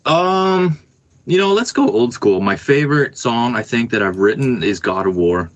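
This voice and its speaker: A man's voice: medium pitch, lively intonation, normal speed, no room sound, lo-fi sound. A man speaking casually as a musician guest, explaining things in a laid-back, hesitant way. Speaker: band musician and songwriter, interview guest. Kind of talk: casual conversation.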